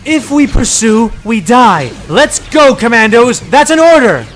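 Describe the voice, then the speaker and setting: A man voicing a female general who shouts sharp, urgent orders. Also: extremely high pitch, sing-song, measured pace, soft background music, pitched above the performer's own voice, exaggerated voice. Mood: commanding.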